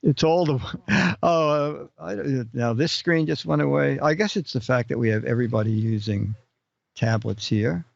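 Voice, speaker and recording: A man's voice: mid-range voice, normal speed, gravelly, no echo, muffled recording. A man hosting a casual live-stream chat, joking around and reading out viewer questions. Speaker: show host.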